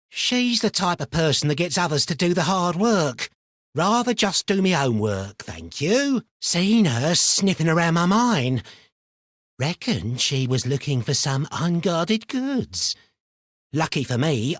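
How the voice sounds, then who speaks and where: An actor voicing an eccentric, paranoid, chatty miner in a video game, with a rambling, colloquial delivery. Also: male voice, a little high, dry recording, clean studio sound, heavily acted. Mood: wry, suspicious. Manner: rambling, muttering, colloquial, theatrically swinging between chummy and suspicious. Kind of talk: video game character voice lines.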